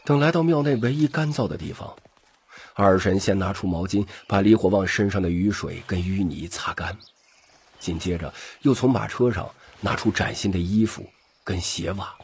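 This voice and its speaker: 一名男性有声书播讲者，以戏剧化的方式照稿讲述故事。. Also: male voice, deep voice, normal speed, husky. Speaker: audiobook narrator. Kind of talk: scripted dramatic story narration.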